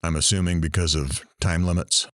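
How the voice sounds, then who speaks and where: A sympathetic show host, acted by a man in an audio drama. His voice sits low and sounds dry, with no room around it. He questions attentively, gently prompting the story along. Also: flat delivery, moderate pace, dry recording. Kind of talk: audio drama acting, interview.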